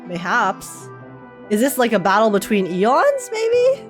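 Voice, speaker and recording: A woman's voice: fairly high pitch, wildly swooping pitch, soft background music. Casual woman streamer musing aloud. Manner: musing, thinking aloud, quick to giggle. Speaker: streamer reacting to a game story. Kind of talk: casual live reaction commentary.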